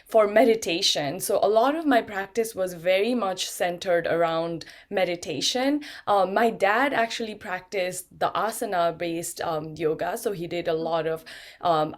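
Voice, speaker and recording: A woman's voice: mid-range voice, normal speed. A middle-aged woman from Nepal, a guest on a video call interview, talking in a thoughtful, casual way with frequent ums and restarts. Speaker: guest, neuroscientist and yoga teacher.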